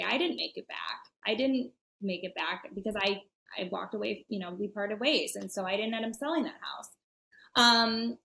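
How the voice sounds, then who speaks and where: Mid-pitched woman, talkative guest sharing stories. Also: fairly bright tone. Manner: chatty, many fillers, laughs often. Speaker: podcast guest, real estate expert. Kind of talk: casual podcast conversation.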